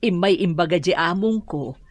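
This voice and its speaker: A woman's voice: fairly low pitch, normal speed, dry recording, natural voice. A woman playing a humble, reassuring older mother in a radio drama, with a Filipino Ilocano accent. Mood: grateful, calm.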